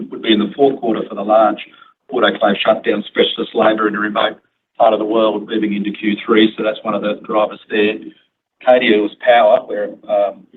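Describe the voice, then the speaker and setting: A man speaking as a company executive answering questions on an earnings call, in a halting way with repeated words. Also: lowish voice. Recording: phone-like conference line, clear noise.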